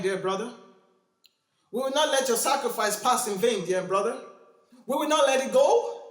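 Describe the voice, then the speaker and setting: An actor playing a civil rights preacher giving a grieving, impassioned eulogy in a dramatic, rhetorical film-monologue style. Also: male voice, very high voice, roomy sound. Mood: tender, resolute. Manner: rhetorical, building from hushed solemnity to fiery cries.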